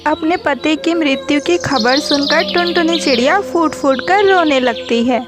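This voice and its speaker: A woman's voice: high-pitched, unhurried. A woman narrating a scripted children's bird story as the storyteller. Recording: music behind the voice.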